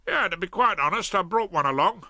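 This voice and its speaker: A man's voice: high voice, lively intonation, fairly quick, sharp tone, no room sound, clean studio sound, voice pushed up, exaggerated voice. A man doing a chatty South London crook. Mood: confiding. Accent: South London working-class. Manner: chatty, cheerful, ungrammatical. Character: cheerful old petty-criminal grandfather. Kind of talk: audiobook character voice.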